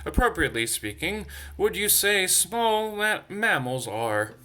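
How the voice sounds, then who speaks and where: A storybook church mouse, played by a man, pompous and nervous, in a bright voice. He keeps up a trembly show of casual, gentlemanly politeness. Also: high voice, big pitch swings, unhurried, a little hiss or hum, voice pushed up, exaggerated voice. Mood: nervously casual.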